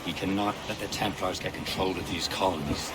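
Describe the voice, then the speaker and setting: An actor playing a resolute, matter-of-fact assassin and loyal comrade in a video game. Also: male voice, low-pitched, steady tone, a little fast, heavy background noise, lightly acted. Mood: resolute briefing. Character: assured male assassin, steady loyal comrade and mentor. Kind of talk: dramatic video game cutscene acting. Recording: loud outdoor ambience behind the voice.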